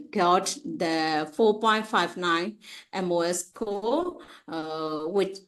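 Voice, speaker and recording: Female academic presenter, lowish pitch, hesitant stop-and-start delivery. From Myanmar. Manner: halting, hesitant, with frequent pauses and repeated phrases. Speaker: researcher presenting at a conference.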